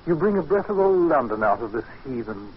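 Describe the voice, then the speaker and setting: An actor playing a deferential, uneasy English butler with a formal, fussy manner in an old radio drama. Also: male voice, medium pitch, sing-song, a little fast, lightly acted. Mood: polite, uneasy. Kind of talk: 1940s radio acting. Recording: dull noisy old radio recording.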